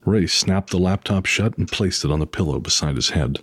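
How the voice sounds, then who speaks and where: A man's voice: deep voice, moderate pace, over music. A man narrating a scripted horror audio drama as storyteller.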